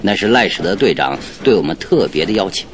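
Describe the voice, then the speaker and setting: Confident male detective, low and very expressive, deliberate theatrical reasoning, clearly noisy old radio recording. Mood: intrigued explaining. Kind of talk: Mandarin radio drama acting. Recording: noisy old radio drama, train rumble behind.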